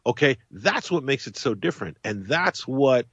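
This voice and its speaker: A man talks with a very lively, swinging pitch, hammering his points home by repeating them. The sound is dry and a little dull, with no room in it. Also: normal speed, breathy edge, no echo. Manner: emphatic, repeats phrases for stress. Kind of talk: casual chat.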